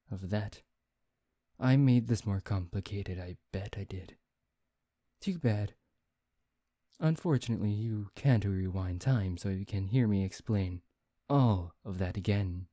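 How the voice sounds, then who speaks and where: A man playing a proud, dismissive vampire in an ASMR roleplay, speaking softly and haltingly as he searches for words. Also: no room sound, studio quality. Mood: weary, curt. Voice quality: low, dark, soft voice. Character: proud, dismissive male vampire lecturing on his history.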